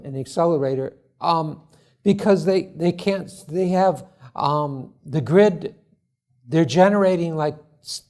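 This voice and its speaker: A man presenting his startup at a conference forum, answering judges' questions in a halting, earnest way full of restarts. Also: fairly high pitch, darkish tone. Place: conference hall.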